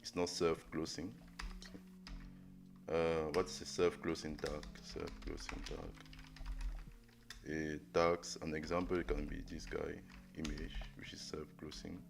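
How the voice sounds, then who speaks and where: A young man live-streaming a coding lesson, thinking aloud in a halting way with many fillers and restarts. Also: very deep voice, animated, gravelly. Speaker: coding livestreamer. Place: indoor room at a desk. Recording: music playing under the voice. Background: music playing and keyboard typing.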